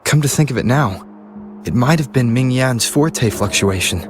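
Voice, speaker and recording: A man voicing a calm, observant, analytical investigator in a video game, speaking in a measured, explanatory way. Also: medium pitch, close to an everyday voice. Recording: game music behind the voice.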